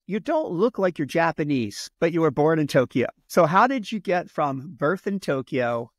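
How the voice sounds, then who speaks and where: A man's voice: fairly high pitch, very animated, normal speed, no room sound, studio quality. Upbeat male podcast host. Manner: upbeat, reading an introduction. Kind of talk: podcast interview intro.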